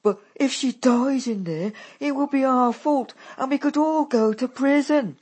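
Woman doing a scared stammery teen girl, tearful, breathy and husky. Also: mid-range voice, sing-song, a little slow, darkish tone, voice pushed up, full character voice. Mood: bewildered. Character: timid, bewildered teenage girl.

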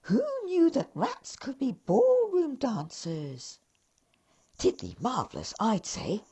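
Lady putting on chirpy little elf guys, squeaky and breathy, super excitable, kinda talking down to you. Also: slow pace, full character voice. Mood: delighted. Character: two cheery tiny male elf brothers, chirpy.

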